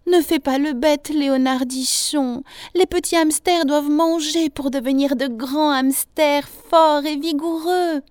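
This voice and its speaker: A woman's voice: sits high, steady tone, unhurried, darkish tone, dry recording, studio quality, voice pushed up. A woman voicing a fussy, coaxing, exasperated mother hamster in a children's storybook. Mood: scolding.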